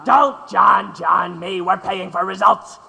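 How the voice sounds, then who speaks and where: An actor playing a cranky, contemptuous Gilded Age oil tycoon in an audio drama, with a gravelly, impatient, blustering delivery. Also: male voice, high voice, slow, sharp tone, exaggerated voice. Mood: ruthless, scornful.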